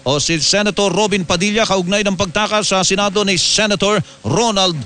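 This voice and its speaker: High-voiced Filipino male news anchor. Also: fairly even intonation, moderate pace, bright, forceful tone. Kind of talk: scripted newscast reading.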